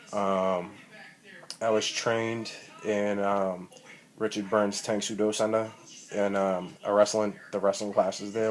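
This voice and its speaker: Guy in his thirties, low and monotone, rambles with lots of ums and swearing, recorded on a tablet. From Boston, Massachusetts. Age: 30s. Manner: rambling, profane, full of ums and restarts. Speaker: amateur wrestler. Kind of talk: rambling self-recorded vlog. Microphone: tablet built-in mic.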